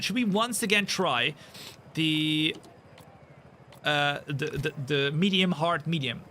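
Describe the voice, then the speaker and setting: Energetic male streamer. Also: on the high side, slight background noise. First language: Dutch. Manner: energetic, hype shout-outs, rambling asides. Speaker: sim racing streamer. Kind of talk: live stream chat while gaming.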